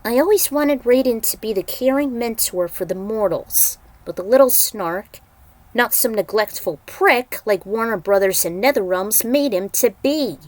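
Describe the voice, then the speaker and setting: A woman's voice: on the high side, lively intonation, moderate pace, no echo. A woman giving casual, rambling commentary as a fan fiction writer and host, with a blunt, griping manner.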